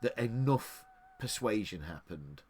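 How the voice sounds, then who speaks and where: A middle-aged man from England co-hosts a relaxed podcast, thinking out loud and restarting his sentences. His voice sits low but moves around a lot in pitch. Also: measured pace, slight background noise. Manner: rambling, restarts often, laughs easily. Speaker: podcast co-host. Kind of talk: casual chat.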